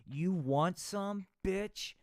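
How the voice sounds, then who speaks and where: A man's voice: sits high, very slow, darkish tone, dry recording, clean studio sound, voice pushed up. A man voicing a hot-tempered, profane street dealer with a swagger. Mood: defiant.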